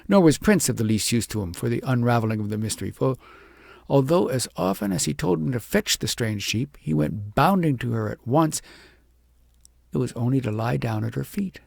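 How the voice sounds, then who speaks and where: A man with a husky, gravelly voice reading an audiobook story in a measured, expressive storytelling manner. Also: mid-range voice, dry recording, studio quality. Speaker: audiobook reader. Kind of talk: scripted storybook narration.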